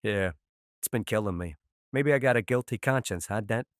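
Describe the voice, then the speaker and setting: A man's voice: on the low side, normal speed, no room sound, clean studio sound, natural voice. A man voicing a cocky, sardonic, vicious mob boss in a crime drama. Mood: sardonic, dismissive. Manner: brash, sardonic. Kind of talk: animated crime drama acting.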